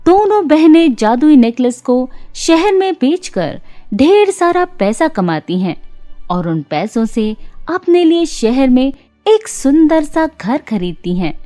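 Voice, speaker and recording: Female bedtime-story narrator, high and very animated, expressive and deliberate, music underneath. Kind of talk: scripted children's story narration.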